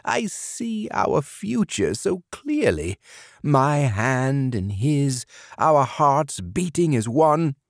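A man voicing a lovesick male sleep demon, wailing and quavering in a high voice. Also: big pitch swings, drawn-out words, no room sound, clean studio sound, full character voice. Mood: tearful. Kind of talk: audiobook character voice.

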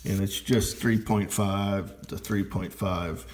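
A man giving a casual, unscripted hands-on demonstration of his hobby equipment, talking in a halting way. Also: sits low, fairly even intonation, a little mellow, a little hiss or hum. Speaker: amateur radio hobbyist demonstrating gear.

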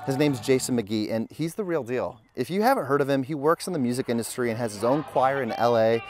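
A man's voice: medium pitch, animated, brisk, darkish tone. A man in his thirties hosting a family vlog, narrating in an upbeat, earnest way. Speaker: vlog host. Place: outdoors in a park. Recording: music bed behind voice.

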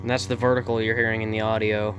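A man giving a casual, off-the-cuff narration as a hobbyist repairer, speaking informally and haltingly into a handheld camera in an indoor workshop. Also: fairly low pitch, little pitch movement, background noise. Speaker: vintage TV repair hobbyist narrating his video.

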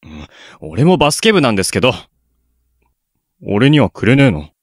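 Man as bashful schoolboy, curt and sulky, lively swings in pitch, dry studio sound, radio drama. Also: moderate pace, slightly rough, no room sound. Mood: irritated. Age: young adult. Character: grumpy, bashful lovestruck schoolboy Ryo. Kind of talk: Japanese student radio drama acting.

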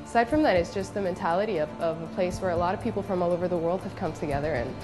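Woman, fast talker, lowish voice, eager, music behind. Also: rough recording. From Mexico City. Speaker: art student. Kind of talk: interview testimonial.

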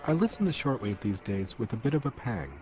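A man's voice: medium pitch, animated, a little fast. A man narrating a radio documentary from a script, in a muffled, noisy recording.

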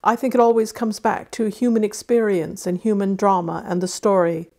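Casting: female author, mid-Atlantic accent, medium-pitched voice. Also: fairly even intonation, moderate pace, over loud music. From Wales and Arizona. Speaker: author reading and discussing own novel.

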